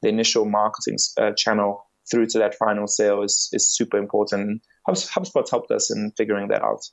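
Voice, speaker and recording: A man, a company founder and podcast guest, explaining his business in a measured way with frequent fillers and restarts. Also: fairly low pitch, level tone, vocal fry, dull audio. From Bulgaria, raised in South Africa. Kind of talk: podcast interview.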